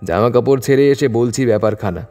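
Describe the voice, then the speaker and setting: Casting: male reader as stubborn ordinary workingman in an audio story, soft-toned mid register, reverberant, music bed. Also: mid-range voice, fairly quick, natural voice. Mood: matter-of-fact. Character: Keshab, plain-spoken hardworking man, casual and stubborn. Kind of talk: dramatised audio story dialogue.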